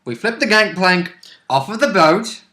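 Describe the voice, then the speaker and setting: A man's voice: high voice, lively intonation, unhurried, sharp tone. A British man chatting casually about a game session as a player, jokey and sweary, often quoting characters.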